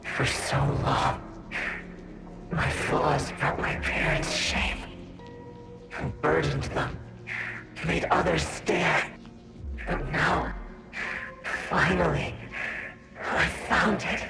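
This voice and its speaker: Brooding possessed youth, poetic, halting, gravelly, loud game music. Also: male voice, a little high, fairly even intonation, exaggerated voice. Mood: dreamy. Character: young man fused with a plant garden, dreamy and defiant.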